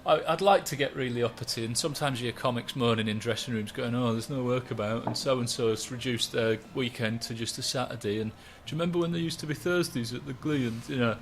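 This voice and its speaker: A man, a stand-up comedian chatting in a relaxed, rambling, self-mocking way. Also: mid-range voice, quick pace, hardly any pauses, slight background noise. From West Yorkshire, England. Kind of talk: relaxed interview chat.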